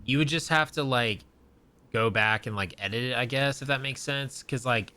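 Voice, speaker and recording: A young man with a bright, forward voice chats on a gaming podcast. He rambles, leans on fillers and lets his sentences trail off. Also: medium pitch, normal speed. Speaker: podcast co-host. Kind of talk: casual chat.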